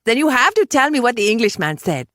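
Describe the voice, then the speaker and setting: Woman acting steely woman, lively intonation. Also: a little high, fast, no room sound, clean studio sound, voice pushed up. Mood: breezy. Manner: assertive, cool, formal. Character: steely, self-possessed, dangerous woman with secrets.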